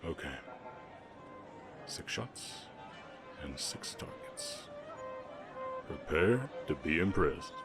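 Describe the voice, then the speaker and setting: A man playing a kind, protective, shy orc ranch hand with a Southern US accent, speaking gently and plainly in an intimate roleplay. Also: deep voice, big pitch swings, extremely slow, long pauses, loud music behind the voice, full character voice. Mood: easygoing. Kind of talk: intimate ASMR romance roleplay.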